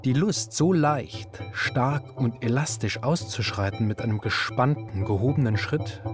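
An actor playing a composed narrator, reading literary radio drama prose in a measured, formal way. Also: male voice, mid-range voice, music in the background, lightly acted. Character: composed literary narrator observing a woman's affair.

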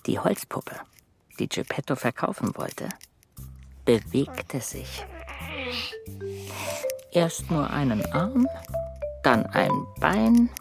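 An actor playing a wry little creature that lives in wood and narrates a children's radio play, husky, with dramatic pauses. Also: male voice, fairly high pitch, heavily acted. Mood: wry, suspenseful.

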